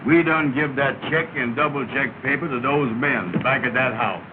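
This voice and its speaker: Actor as glum comic bungler, crackly old film. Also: male voice, medium pitch, normal speed, lightly acted. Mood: glum, worried. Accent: stage imitation of Southern US dialect. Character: glum, bungling man, schemer in comic trouble. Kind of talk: 1930s film comedy acting. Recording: muffled, noisy 1930 film soundtrack.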